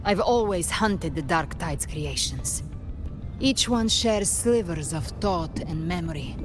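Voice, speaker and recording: An actress playing a cool, guarded avenger in a video game, speaking in a grave, measured, secretive manner. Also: female voice, lowish voice, expressive, over music. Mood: cool, intent. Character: cool, guarded female avenger and monster hunter. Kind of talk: scripted video game voice acting.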